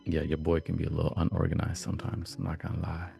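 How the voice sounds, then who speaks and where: A slightly hoarse man hosting a livestream, chatting in a relaxed, reflective, slangy way over soft background music. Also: low-pitched, fast talker. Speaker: podcast and livestream host. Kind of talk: casual livestream chat.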